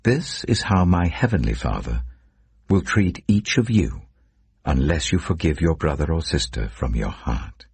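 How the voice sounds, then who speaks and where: A man reading scripture as a narrator in a solemn, measured, dramatic storytelling delivery. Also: very deep voice, very animated, vocal fry, darkish tone, husky, no echo. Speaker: Bible narrator.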